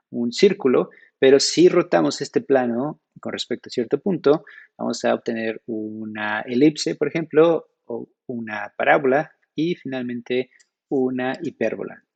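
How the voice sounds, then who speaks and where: A young man from Mexico with a Mexican Spanish accent, giving a measured, teacherly slide presentation at a conference, recorded on a computer webcam in a small room. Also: medium pitch, gravelly, darkish tone, breathy edge.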